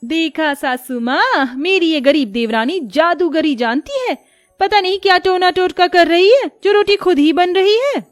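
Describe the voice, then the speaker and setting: A woman's voice: extremely high pitch, animated, a little slow, no echo, clean studio sound, soft background music, pitched above the performer's own voice. A woman voicing a jealous, scheming elder sister-in-law in a cartoon, spiteful and accusing.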